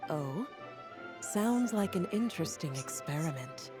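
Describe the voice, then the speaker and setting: A woman's voice: fairly low pitch, expressive, measured pace, a little mellow, faint music behind. A woman playing a cool, scholarly witch in a video game, formal and composed. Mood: cool, intrigued.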